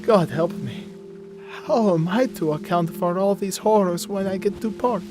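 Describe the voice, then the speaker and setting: Schooner captain male actor, gothic radio drama narration: measured, grave, haunted by dread, slightly high pitch, scored with music. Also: natural voice. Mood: dread, despair. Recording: music playing behind the voice.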